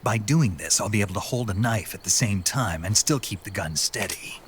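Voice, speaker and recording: Gruff, wary male soldier for a game cutscene man acting, low gravelly voice, terse and serious, night insects behind him. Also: lively intonation, normal speed, a little hiss or hum, lightly acted. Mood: confident, instructive.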